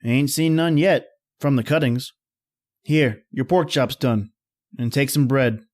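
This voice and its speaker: Radio horror drama role: male actor as folksy roughneck narrator, plain-spoken, easygoing, colloquial, mid-pitched. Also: a little slow, darkish tone, close to an everyday voice.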